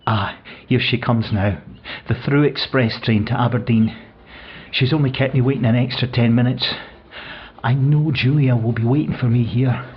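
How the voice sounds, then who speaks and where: A husky, grieving older widower. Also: male voice, mid-range voice, normal speed, lo-fi sound. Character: grieving widowed man, older, tender, wistful, reminiscing. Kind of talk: audio play dramatic monologue.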